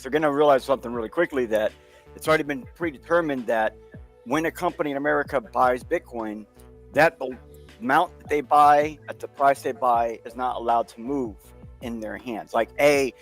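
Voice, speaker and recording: A man in casual chat, earnestly explaining a point at length. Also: medium pitch.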